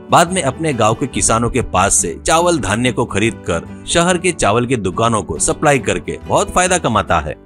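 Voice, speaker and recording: A man's voice: medium pitch, a bit bright. A man narrating a scripted moral story as a storyteller.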